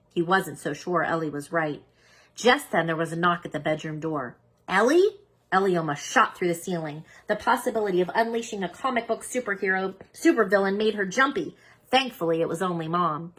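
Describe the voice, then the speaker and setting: Female children's read-aloud narrator: emphatic, animated delivery, forceful brightness, husky edge. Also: on the low side. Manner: expressive, emphatic. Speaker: children's book reader.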